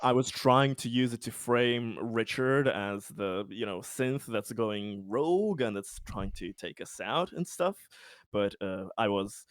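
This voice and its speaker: A man's voice: on the low side, lively intonation, measured pace, no room sound. Man rambling through a game recap, close dry sound. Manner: rambling, sweary, self-amused. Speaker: tabletop RPG player. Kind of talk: casual post-game recap of a character's schemes.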